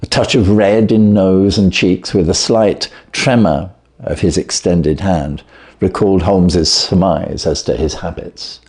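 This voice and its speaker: A man narrating a classic story as an audiobook, with a measured, expressive storytelling delivery. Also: deep voice.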